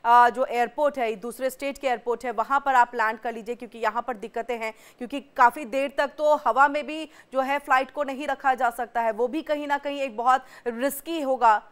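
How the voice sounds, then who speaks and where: A woman's voice: sits high, steady tone, fairly quick, hardly any pauses, smooth. A woman anchoring live breaking news in a wordy, rambling way.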